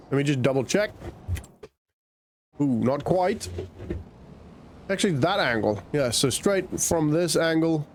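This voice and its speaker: A man with a croaky, nasal voice from a cold, chatting casually as he plays a farming game and thinks aloud. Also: medium pitch, a little mellow, slight background noise. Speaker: gaming channel host. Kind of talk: casual gameplay commentary.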